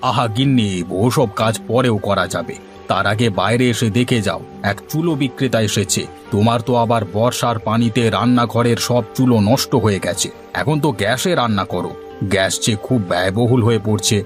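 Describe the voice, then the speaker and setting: A man's voice: mid-range voice, fairly quick, natural voice. A man voicing soothing, persuasive village men who explain and advise, in a Bengali fairy-tale cartoon. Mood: coaxing. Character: placating village husband and kindly advising doctor. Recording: music under the voice.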